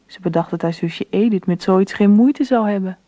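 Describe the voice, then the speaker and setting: A woman playing the calm storyteller who narrates a Dutch radio drama. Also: mid-range voice, moderate pace, no echo, lightly acted.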